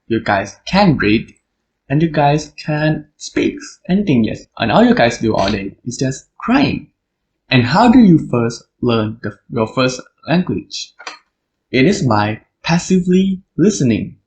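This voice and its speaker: A man's voice: mid-range voice, a little mellow, rough recording. Thai man explaining language learning. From Thailand. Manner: earnest, explanatory, talks straight to listeners. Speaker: podcast host. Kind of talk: solo podcast monologue on language learning.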